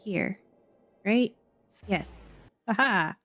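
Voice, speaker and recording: A woman's voice: medium pitch, drawn-out words, fairly bright tone. A woman giving casual live game commentary, talking to herself as she thinks aloud. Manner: talks to self, thinking aloud. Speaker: game streamer. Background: music.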